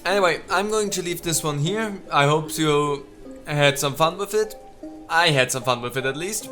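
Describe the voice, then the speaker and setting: A man giving casual let's play commentary as a gaming video host, haltingly thinking out loud as he goes. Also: fairly high pitch, soft background music.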